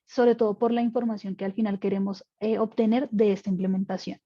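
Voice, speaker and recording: A woman's voice: medium pitch, level tone, fairly quick, mellow, dull audio. A woman panelist giving a technical explanation on an online webinar, talking things through with fillers and self-corrections. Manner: explanatory, with frequent fillers and restarts. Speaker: panelist, insurance expert.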